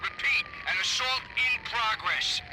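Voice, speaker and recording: A man's voice: very high-pitched, unhurried, music in the background. An actor playing an embattled police officer, a man calling for backup in urgent clipped bursts over police radio. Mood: urgent, alarmed. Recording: very noisy police radio transmission.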